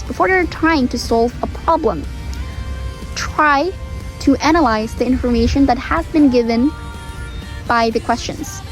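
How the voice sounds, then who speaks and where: A woman's voice: high voice, poor audio. A young woman from Indonesia with an Indonesian accent, patiently explaining a math lesson as a student presenter. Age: teens. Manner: steady, patient explaining, switching between English and Indonesian.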